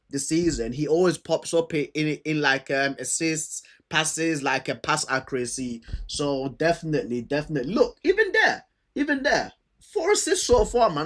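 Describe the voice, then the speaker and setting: A man's voice: on the high side, fairly bright tone. A man with a stammer chatting casually and unscripted as he hosts a football preview, using slang and repeating himself as he thinks aloud. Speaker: football fan host previewing fixtures.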